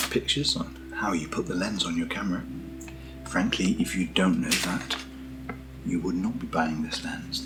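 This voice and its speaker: A soft-spoken British man chatting through an ASMR unboxing in a breathy murmur that often drops near a whisper, with gently playful asides. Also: low voice, rough recording. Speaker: ASMR product reviewer.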